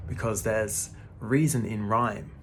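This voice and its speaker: A man's voice: on the low side, fairly even intonation, slow pace, slight background noise. A man in his thirties giving a casual, laid-back spiritual talk to the camera, often calling the listener "man" and swearing now and then. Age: 30s. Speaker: spiritual vlogger.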